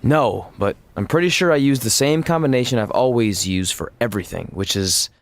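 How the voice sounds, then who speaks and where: A woman's voice: rumbling low, lively intonation, moderate pace, dry recording. An actress playing a teasing, level-headed young woman wrestler in a video game cutscene.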